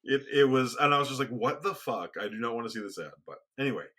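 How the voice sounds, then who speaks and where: A soft-spoken man co-hosting a casual podcast, rambling with frequent restarts. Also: lively intonation, fast talker. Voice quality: booming when raised. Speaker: podcast co-host. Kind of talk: casual conversation. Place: home studio, remote recording.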